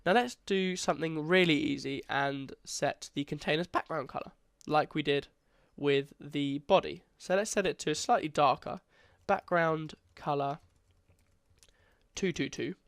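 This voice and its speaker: A man's voice: fairly high pitch, unhurried, gravelly, no room sound, studio quality. A young man, congested, casually talking through a coding tutorial and correcting himself as he goes. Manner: casual, chatty, self-correcting. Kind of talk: screen-recorded coding tutorial.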